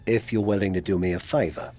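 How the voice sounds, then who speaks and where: A man's voice: low voice, fairly even intonation, fast, warm tone, soft background music. A middle-aged man playing a proud, crafty, teasing veteran wrestler who coaches frankly in a video game cutscene. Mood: determined, persuading. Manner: frank, coaxing, wry.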